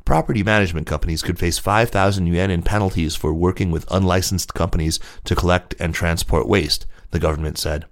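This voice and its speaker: A man with a low-pitched voice presents as a podcast host. He reads scripted news copy as narration. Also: brisk, few pauses.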